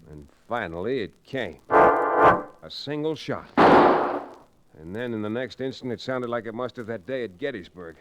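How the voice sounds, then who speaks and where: A man's voice: mid-range voice, animated, measured pace, long pauses, over loud music, lightly acted. An actor playing a shrewd, tough American frontier hero in an old-time radio western, telling his tale in folksy style. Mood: measured, suspenseful. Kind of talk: old-time radio drama acting, first-person narration. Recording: noisy vintage radio broadcast recording.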